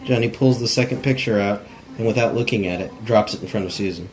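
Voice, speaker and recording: A man's voice: on the low side, quick pace, rough recording. A man reading stage directions in an amateur cold table read, plainly and with stumbles over words. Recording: faint background noise.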